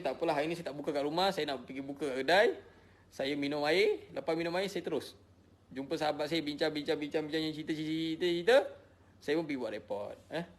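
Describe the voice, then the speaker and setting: A middle-aged man from Malaysia with a Malaysian Malay accent, tired, defensively explaining himself to followers and repeating his points. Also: fairly high pitch. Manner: emotional, repetitive, defensive. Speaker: social media personality. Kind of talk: personal explanation to followers, casual.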